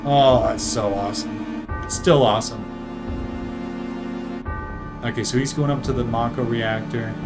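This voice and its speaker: A man commentates on a video game in a casual, conversational way, reacting out loud as he plays. His voice sits at an ordinary pitch, with loud game music running behind it. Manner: conversational, reacting aloud to the screen. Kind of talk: casual let's play commentary with voiced-over dialogue.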